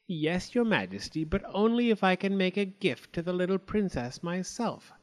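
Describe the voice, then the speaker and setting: Figure: a man doing a cruel old witch. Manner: haughty, menacing, slow when cursing. Pitch: raised. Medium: storybook reading. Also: big pitch swings. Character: wicked old female witch, proud and vengeful.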